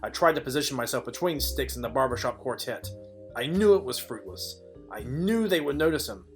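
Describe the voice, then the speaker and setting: Casting: man to play world-weary private eye narrator for noir spoof audio play, mid-range voice, dry deadpan wit. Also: mid-range voice, a little fast, soft background music, natural voice. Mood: wry, deadpan. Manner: deadpan, dryly wry. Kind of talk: film noir parody narration.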